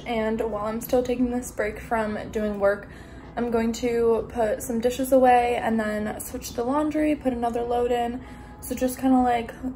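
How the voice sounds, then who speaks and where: A woman in her twenties chatting casually through a day-in-the-life vlog at home, full of "like" fillers. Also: on the high side. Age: 20s. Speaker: vlogger. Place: home apartment, indoors.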